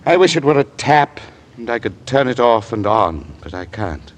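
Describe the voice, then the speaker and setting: An actor playing a moody, brooding writer and husband in an old-time radio drama, curt and dismissive in manner. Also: male voice, mid-range voice, sing-song, normal speed, natural voice. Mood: frustrated, brooding. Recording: noisy old radio broadcast recording.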